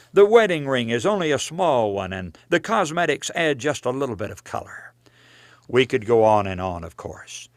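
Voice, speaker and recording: A man's voice: mid-range voice, dry recording. A man preaching from a written text in an earnest, admonishing, emphatic way, as in a sermon read aloud with rhetorical questions. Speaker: preacher reading own religious book.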